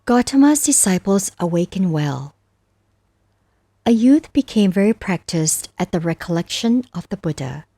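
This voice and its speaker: A woman reading scripture as scripted narration, reciting verses with measured, deliberate phrasing. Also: on the low side, animated, dark tone, no room sound. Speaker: narrator of a Buddhist scripture audiobook.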